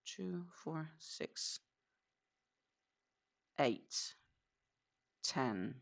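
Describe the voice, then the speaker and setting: Gravelly low Danish woman chatting. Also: expressive, extremely slow. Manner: chatty, counts stitches aloud, answers viewers. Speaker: crochet live streamer. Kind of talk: casual live-stream chat.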